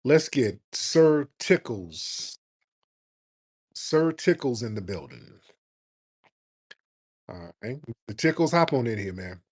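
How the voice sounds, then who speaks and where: A man runs a live call-in show. He talks in a brash, mocking, animated way, cutting callers off and pushing back hard. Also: mid-range voice, slow, pauses often. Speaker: host.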